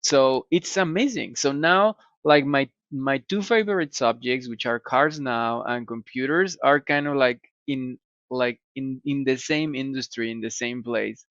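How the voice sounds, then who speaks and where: A gravelly-voiced man with a Mexican Spanish accent answers questions in English, stopping and restarting his phrases often. He sounds like he is in his forties. His voice comes over a dry, somewhat muffled video call. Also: medium pitch, fairly bright tone, dry recording. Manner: halting, many fillers and restarts. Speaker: guest, computer scientist. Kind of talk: casual interview answers. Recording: slightly dull video call audio.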